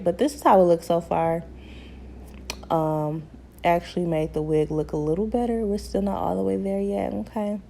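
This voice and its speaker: A hoarse young woman chats her way through a hair tutorial in a small bedroom, her low voice gravelly and mellow. She talks to her viewers easily, calling them ladies and honey. A faint rumble sits under the camera mic. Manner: chatty, relaxed, addresses viewers as ladies and honey. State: hoarse from a cold. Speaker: beauty vlogger. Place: small bedroom at home, indoors. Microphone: camera mic, seated close in front.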